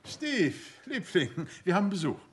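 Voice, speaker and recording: An actor playing a polite, quick-witted gentleman detective in a German radio crime drama. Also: high voice, big pitch swings, slight background noise. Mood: genial. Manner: urbane, inquisitive.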